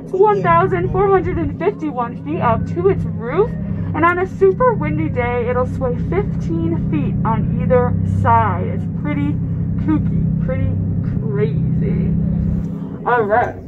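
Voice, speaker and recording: A young woman from Chicago leads a boat tour with an upbeat, joking patter, tossing questions to her passengers. Her voice sits high. Loud engine and wind noise from the open deck roar behind her. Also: expressive, a little slow, dull audio. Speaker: tour guide. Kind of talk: live tour-boat guiding.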